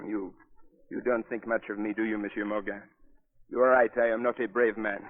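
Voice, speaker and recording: A man's voice: fairly low pitch, little pitch movement, moderate pace, natural voice. An actor playing a humble but resolute French resistance man in a 1940s radio drama, formal and solemn. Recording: tinny, phone-like old radio broadcast, music.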